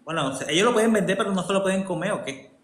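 A man's voice: high voice, expressive, racing, a bit bright. A man hosting a casual livestream interview, asking blunt, slangy questions. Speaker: host. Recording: echoey room with faint noise.

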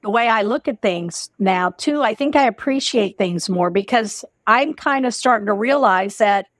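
A woman's voice: medium pitch, expressive, moderate pace, no echo, studio quality. A woman in her sixties hosting a casual interview over a video call from home, musing reflectively with many "you know"s. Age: 60s. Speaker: host. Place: home room. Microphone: video call webcam.

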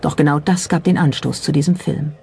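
A woman's voice: low voice, fairly even intonation, brisk, creaky, darkish tone. A woman reading scripted documentary narration with a dramatic, rhetorical delivery. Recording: music bed under the voice. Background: background music.

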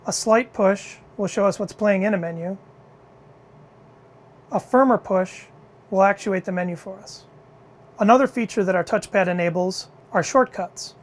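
A middle-aged man leading product innovation, presenting a car cockpit demo in a measured, clear, scripted way in an indoor demo room. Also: sits high. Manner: measured, clear explanatory delivery.

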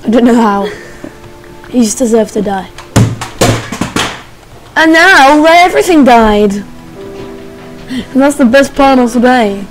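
Young female gamer, excitable and playful, higher voice, slow delivery, light music bed. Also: low-quality recording. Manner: excitable, squealing, playful sing-song noises. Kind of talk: casual let's play commentary.

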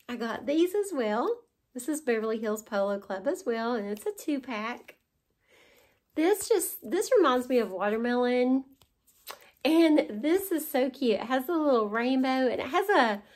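Woman, 40s, Southern accent, haul vlogger; slightly high voice, unhurried, chatty and delighted, close camera in a living room. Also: a little mellow. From West Virginia. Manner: gushing, chatty, laughs often. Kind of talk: casual show-and-tell haul. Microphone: camera in front at close range.

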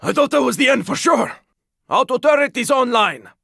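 A gruff male survivor from a zombie shooter game, battle-worn and protective. His voice is raspy and pitched very high for a man, swinging widely as he delivers terse combat callouts. The sound is close and dry. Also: expressive, normal speed, no echo, heavily acted. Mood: urgent, alarmed. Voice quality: gravelly, raspy. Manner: terse, intense callouts, often strained or shouted. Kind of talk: video game combat voice lines.

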